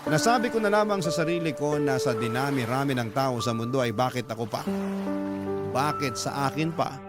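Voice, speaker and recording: A mid-pitched young man played by an actor tells of his hard life in a Filipino accent. He narrates in a sorrowful, confiding way for a radio drama, with heavy music underneath. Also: normal speed, close to an everyday voice. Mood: pained. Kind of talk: first-person scripted radio drama narration.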